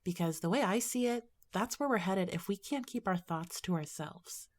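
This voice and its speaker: A woman's voice: mid-range voice, expressive, normal speed, lightly acted. Woman playing a dry, sceptical teenage schoolgirl. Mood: dismissive. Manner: dry, dismissive. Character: sceptical, cautious teenage schoolgirl, reluctant confidante. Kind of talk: audio drama dialogue.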